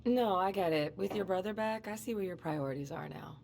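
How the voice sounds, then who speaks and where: A woman's voice: mid-range voice, brisk, vocal fry, a little mellow, lightly acted. An actress playing a friendly, chatty small-town policewoman who talks in a colloquial, teasing way in a video game cutscene. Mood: teasing, rushed. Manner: colloquial, joking. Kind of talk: naturalistic video game voice acting.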